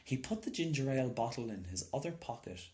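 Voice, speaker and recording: A man's voice: medium pitch, sing-song, brisk, a little mellow. A man reading a children's story aloud as scripted narration. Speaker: storybook reader.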